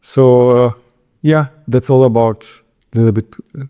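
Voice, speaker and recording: A man with a Turkish accent giving informal interview answers, halting and restarting his words as he goes. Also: mid-range voice, steady tone, warm tone, smooth. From Istanbul, Turkey. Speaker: interview guest, entrepreneur.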